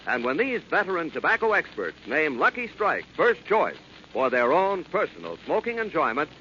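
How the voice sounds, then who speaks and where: Male commercial announcer, highish voice, emphatic, salesmanlike, 1940s radio, muffled with faint noise. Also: huge pitch swings, moderate pace, sharp tone. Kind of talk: 1940s scripted radio ad read.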